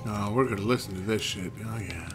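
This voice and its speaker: A man's voice: on the low side, fairly quick, music in the background. A man streaming a horror game, chatting and reading in-game notes aloud, sometimes stumbling over names. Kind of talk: let's play commentary and reading notes aloud.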